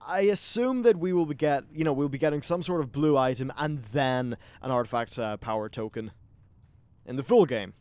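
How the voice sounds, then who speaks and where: A man's voice: medium pitch, moderate pace, a little mellow, smooth, no room sound. A man hosting a gaming video, giving casual, informal gameplay commentary with some swearing.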